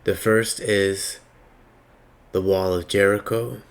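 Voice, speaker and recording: Slow, low-voiced raspy man. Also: flat delivery. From Palestinian heritage. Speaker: spiritual reader. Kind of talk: slow reflective spiritual monologue.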